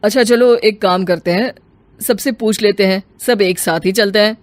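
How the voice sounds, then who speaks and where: A man's voice: sits high, normal speed, no echo, lightly acted. Young husband, read by a male actor: easygoing, friendly, plain-spoken, storybook dialogue, dry recording. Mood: agreeable.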